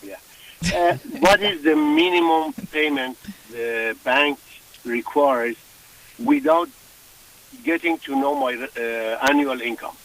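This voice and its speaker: A man calling in to a radio show, asking a question in a hesitant, halting way. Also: a little high, big pitch swings, gravelly, fairly bright tone. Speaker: radio caller. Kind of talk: phone-in question. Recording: distant phone line.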